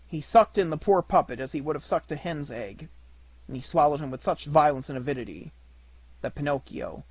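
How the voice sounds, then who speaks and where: A man reading a children's storybook as an audiobook narrator, in a dramatic, deliberate manner. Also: fairly high pitch, dry recording, poor audio.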